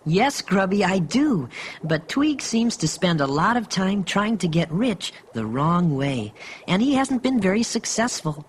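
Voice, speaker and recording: An actor playing a gentle, earnest toy bear who narrates a children's audio storybook. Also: male voice, high voice, sing-song, a little slow, slight background noise.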